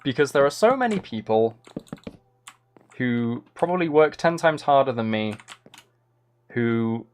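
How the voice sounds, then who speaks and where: A man's voice: mid-range voice, animated, measured pace, pauses often, a little hiss or hum. A young man chatting casually while he streams a game, rambling and self-deprecating into a close mic. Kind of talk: casual live-stream chat while gaming.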